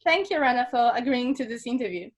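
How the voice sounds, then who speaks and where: High-voiced woman interview host. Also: animated, quick pace. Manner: hesitant, many fillers and restarts. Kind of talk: interview hosting.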